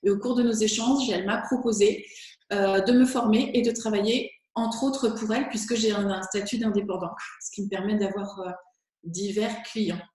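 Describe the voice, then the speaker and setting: A woman's voice: medium pitch, fairly even intonation, moderate pace, darkish tone. A French woman working as a speaking coach, answering interview questions with enthusiasm and occasional fillers. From France. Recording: very echoey room.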